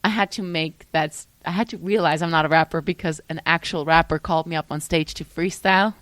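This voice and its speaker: A young woman from Switzerland talking as a musician guest in a casual interview, animated, often trailing off and restarting her sentences. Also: deep voice, quick pace, no room sound. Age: young adult.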